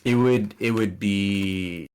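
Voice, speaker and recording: A man with a very low, deep voice whose first language is Spanish talks as a podcast guest. He speaks earnestly and loosely, restarting sentences and filling pauses with ums as he gives advice. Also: darkish tone, clean tone. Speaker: guest streamer. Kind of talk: casual podcast interview.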